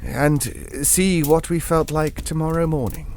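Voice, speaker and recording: An actor with a British accent playing a hesitant, reassuring gentleman who tells an eerie tale in an audio drama, halting and conversational. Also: fairly high pitch, slight background noise. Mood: reflective, uneasy. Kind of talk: audio drama ghost story narration.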